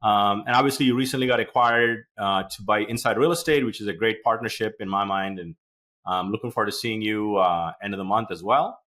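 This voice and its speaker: Bright-voiced chatty podcast host, a man. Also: on the low side, a little fast. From India. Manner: chatty, rambling, many fillers. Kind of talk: podcast interview hosting.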